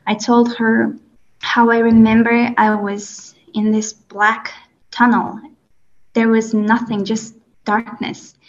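A woman's voice: on the high side, level tone, highs cut off. Earnest young woman telling her story. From Kazakhstan. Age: 20s. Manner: earnest, halting, with frequent fillers. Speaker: guest. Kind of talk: telling a personal story in an interview.

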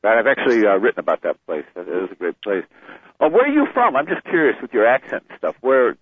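A man from a newspaper joining a radio interview, firing off quick, curious questions. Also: mid-range voice, sing-song, husky, dry recording. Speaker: newspaper writer joining the call. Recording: tinny, distant phone sound.

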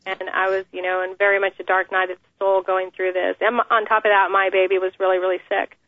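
This woman speaks earnestly and steadily, pausing often with "um" as she tells her story. Her voice comes over a thin, tinny phone line. The sound is dry, with no room echo. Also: medium pitch, level tone. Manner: earnest, measured, many "um" pauses. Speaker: interview guest, mother. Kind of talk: phone interview, personal account.

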